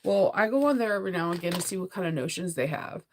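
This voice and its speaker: Congested American woman, lowish voice. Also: lively intonation, very fast, darkish tone, a little hiss or hum. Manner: rambling, giggly, conversational; sleepy, low energy. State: congested with a cold, voice going in and out. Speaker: sewing vlogger. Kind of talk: casual livestream chat.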